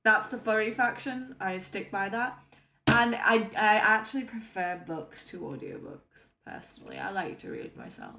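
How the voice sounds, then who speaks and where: A woman hosting a reaction video, chatting casually in a playful manner with quick asides. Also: mid-range voice, sing-song, gravelly, background noise. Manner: chatty, playful, wanders between hype and mumbled asides. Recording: roomy echoey room.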